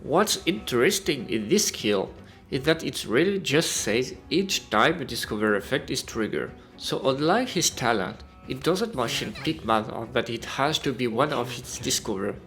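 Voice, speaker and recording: A man's voice: mid-range voice, animated, normal speed, fairly bright tone. A man reading a scripted video game review as a voice-over. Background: music.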